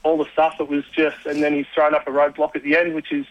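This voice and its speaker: Man, union report on radio, halting and filler-heavy, slightly high, monotone. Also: lo-fi sound. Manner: blokey, rambling, many fillers. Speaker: union organiser giving a report. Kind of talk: casual radio chat.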